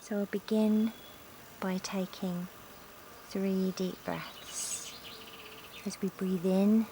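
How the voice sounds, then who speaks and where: A woman leading a guided yoga nidra relaxation in a calm, gentle, soothing manner with long pauses, recorded outdoors in a garden. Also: on the low side. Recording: outdoor recording with insect and nature noise. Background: insects, sounds of a sunny garden.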